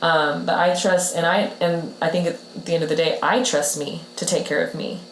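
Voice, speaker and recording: Low-voiced woman doctor, earnest. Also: steady tone, normal speed. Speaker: doctor, podcast co-host. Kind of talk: casual podcast talk. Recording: roomy echo with faint hum.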